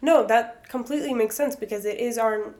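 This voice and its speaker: A woman's voice: fairly high pitch, a little fast, a little mellow. A young Greek woman, chatting as an interview guest and dropping in Greek words. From United States. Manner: chatty, laughs often, mixes in Greek words. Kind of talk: casual interview chat.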